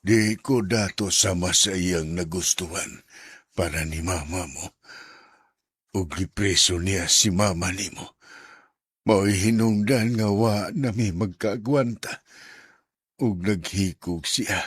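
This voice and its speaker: A man acting an ailing, repentant middle-aged father in a radio drama, with a weary, husky voice and Cebuano accent. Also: low voice, takes it slowly, no room sound, heavily acted. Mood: grave, bitter.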